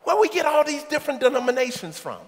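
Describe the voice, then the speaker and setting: Middle-aged male preacher, high gravelly voice, loud sermon with asides to the pews, echoey sanctuary. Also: sing-song, fast. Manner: preaching, shouting at peaks, quips to the congregation. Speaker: pastor. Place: large church sanctuary. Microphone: headset mic. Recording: echoey sanctuary with congregation and organ. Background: congregation and soft music.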